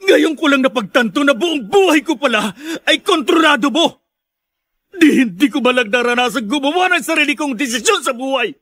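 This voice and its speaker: An actress playing an anguished, worn-out grown daughter in a radio drama, pleading and reproachful. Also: female voice, sits high, sharp tone, no room sound, full character voice.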